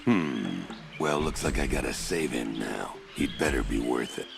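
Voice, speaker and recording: An actor playing a gruff, sardonic, world-weary space marine in a video game, with a gravelly, dry drawl. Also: male voice, rumbling low, animated, measured pace, over music, full character voice. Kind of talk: video game cutscene acting.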